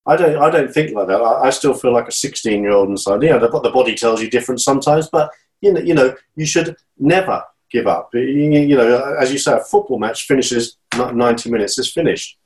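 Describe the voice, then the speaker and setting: A man's voice: medium pitch, brisk, a little mellow, low-quality recording. A man in his fifties from Great Britain, a guest talking in an interview, earnest and encouraging, often repeating and restarting his words. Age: 50s. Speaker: guest, channel swimmer. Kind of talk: casual interview talk.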